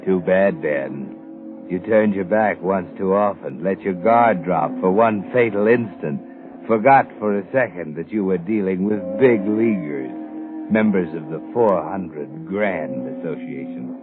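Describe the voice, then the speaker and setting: An actor playing a sardonic, fatalistic narrator who taunts the characters, in 1940s radio suspense style. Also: male voice, low voice, a little slow, over music. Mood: mocking, ominous. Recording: muffled 1940s radio recording.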